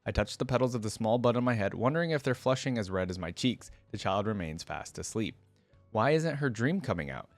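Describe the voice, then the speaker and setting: A shy, clumsy young fawn girl from a fantasy storybook, read by a man in a fairly low voice. The telling is gentle and hesitant, first person, in a dry close recording. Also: animated, brisk, dry recording, faint music behind. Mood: timid wonder. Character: shy, timid, clumsy young female fawn dream spirit.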